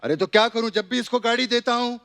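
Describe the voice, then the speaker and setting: A man's voice: extremely high pitch, fast, creaky, no room sound, exaggerated voice. A man playing a boozy, scheming father in a theatrical stage comedy sketch. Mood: indignant. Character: boozy, scheming elderly father, comic film-star parody. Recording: loud noise from studio audience.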